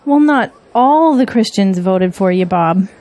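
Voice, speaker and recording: An actress playing a loyal, level-headed political confidante in an audio drama, speaking in a measured, gently cautioning way. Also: female voice, medium pitch, lively intonation, close to an everyday voice.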